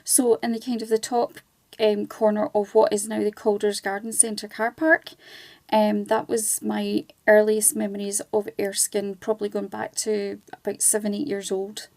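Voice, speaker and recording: A woman's voice: mid-range voice, monotone, moderate pace, a little mellow, no room sound. A Scottish woman in her forties, a charity volunteer chatting as a guest on a radio interview, with many ums and restarts. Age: 40s.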